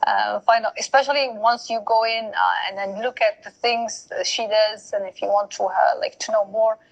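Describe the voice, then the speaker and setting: A woman's voice: medium pitch, steady tone, moderate pace, vocal fry, muffled recording. A woman hosting a live online interview, chatty and laughing easily as she repeats directions to viewers. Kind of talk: casual live-stream hosting. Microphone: online live video call.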